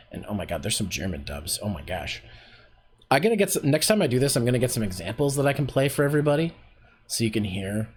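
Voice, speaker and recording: A man's voice: lowish voice, slight background noise. A man hosting a casual voice acting workshop on a livestream, chatty and self-deprecating, often laughing at himself.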